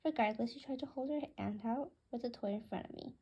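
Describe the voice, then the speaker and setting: A woman's voice: on the high side, fairly quick, warm tone, husky, dull audio. A woman reading a first-person storybook aloud as scripted narration. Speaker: author reading own book aloud.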